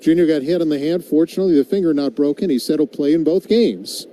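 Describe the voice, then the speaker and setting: Very mellow-voiced male baseball play-by-play commentator. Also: fairly high pitch, fast. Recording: live broadcast with clear background noise.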